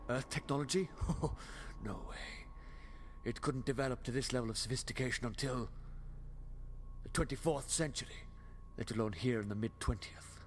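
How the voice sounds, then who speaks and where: A man playing a wry, excitable, pedantic time-travelling alien adventurer in a fan audio drama. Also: medium pitch, slight background noise, close to an everyday voice. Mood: intrigued, grave.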